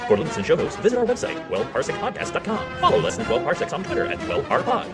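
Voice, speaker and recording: A man reading a scripted podcast outro with energetic announcer delivery. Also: very high voice, fast talker. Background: loud music.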